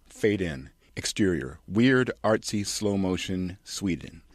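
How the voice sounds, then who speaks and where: Snarky low-voiced man narrating. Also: dry recording. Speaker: host and reader. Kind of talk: comedic scripted narration.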